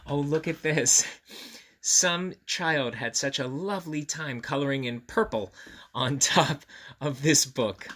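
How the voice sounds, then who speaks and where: A man with a bright voice reads a storybook to children at an unhurried pace. He gently rambles into asides and puts questions to his listeners. The room is echoey. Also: a little high, distant mic. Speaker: children's story-time reader and host. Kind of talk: read-aloud storytelling with chatty asides to children. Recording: roomy echo, clear background noise.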